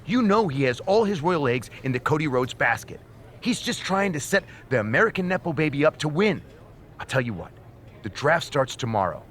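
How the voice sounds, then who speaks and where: A man's voice: on the high side, very animated, a little fast, a little hiss or hum. A man playing a smug, scheming wrestling manager in a video game, condescending, needling and theatrical. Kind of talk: scripted video game cutscene acting.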